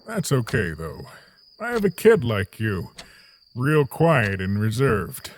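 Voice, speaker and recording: A man's voice: mid-range voice, wildly swooping pitch, slow, heavy background noise. A man voicing a kind, hospitable, slightly awkward fatherly king in a comic dub, courteous and chatty. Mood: gentle, chatty. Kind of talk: dramatic voice acting for a comic dub.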